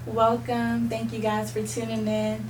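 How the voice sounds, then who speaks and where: A young woman hosting a casual interview, friendly and quick to laugh. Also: on the high side, completely flat delivery, darkish tone, roomy sound, far from the mic, a little hiss or hum. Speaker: podcast host.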